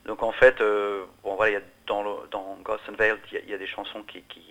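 A man's voice: on the low side, level tone, fast, fairly bright tone, poor audio. A middle-aged man, a musician, answering interview questions in a hesitant way, with many restarts and fillers. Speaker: musician guest.

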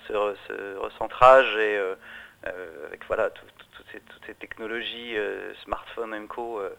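A man's voice: fairly low pitch, steady tone, moderate pace, vocal fry, pushed and bright. A middle-aged man, a musician, answering interview questions in a hesitant way, with many restarts and fillers. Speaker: musician guest.

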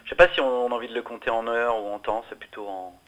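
A man's voice: mid-range voice, fairly even intonation, fast talker, a bit bright. A middle-aged man, a musician, answering interview questions in a hesitant way, with many restarts and fillers. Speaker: musician guest.

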